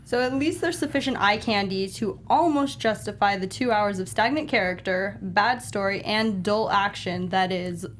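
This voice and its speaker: A woman's voice: mid-range voice, moderate pace, few pauses, a bit bright, slight background noise. A woman co-hosting a panel show, chatting casually with dry, wry humour.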